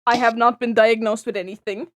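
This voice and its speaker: Young woman from Estonia, somewhat high voice, hesitant and jokey on a call. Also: steady tone. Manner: halting, many fillers and restarts, joking. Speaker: podcast co-host. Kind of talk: casual chat among friends. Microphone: own mic on a voice call.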